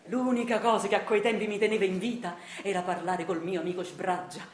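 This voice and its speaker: A woman's voice: medium pitch, fairly even intonation, a little fast, natural voice. A woman playing a meek, henpecked, middle-aged Neapolitan clerk in a theatrical radio monologue, telling a resigned and self-pitying tale. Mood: bitter, confiding. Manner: rueful, self-pitying storytelling. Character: meek henpecked Italian clerk of forty-seven, resigned and wistful. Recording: roomy echo, faint noise.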